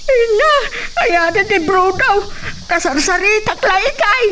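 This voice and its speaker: A woman's voice: very high-pitched, lively intonation, takes it slowly, background noise, heavily acted. A woman playing an anxious, lamenting household woman with a fretful manner in an Ilocano radio drama, with an Ilocano accent.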